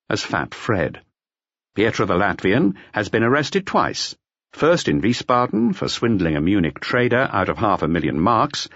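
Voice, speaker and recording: Very deep male audiobook narrator. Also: dry recording, dull audio. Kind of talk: scripted literary narration of a detective novel.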